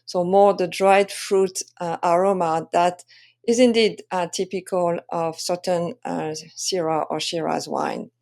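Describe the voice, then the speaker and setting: French-accented woman presenting a lesson, halting delivery. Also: lowish voice, steady tone, gravelly, a little mellow. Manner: explanatory, halting with frequent pauses. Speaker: wine sensory expert and tasting coach. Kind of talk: presentation lesson to camera or slides.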